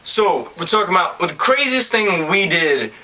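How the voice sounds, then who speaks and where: A man's voice: sits high, big pitch swings, moderate pace, bright, forceful tone. A young man from Bosnia, chatting in a laid-back, easygoing way and chuckling now and then. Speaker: audition video co-presenter. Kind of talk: casual chat.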